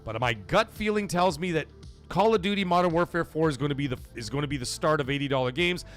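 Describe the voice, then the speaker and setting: A man hosting a gaming livestream, his pitch sitting a little high and swinging widely as he makes his case. He talks casually and emphatically to his chat, pressing his argument and often checking that they follow. Faint music plays underneath. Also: expressive, fast talker, fairly bright tone. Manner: emphatic, persuasive, keeps checking in with "okay?" and "right?".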